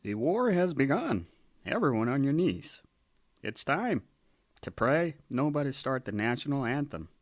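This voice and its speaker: A man's voice: mid-range voice, big pitch swings, a little slow, dry recording, studio quality. A man playing a bossy, self-righteous militant protest leader in a satirical radio drama. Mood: commanding.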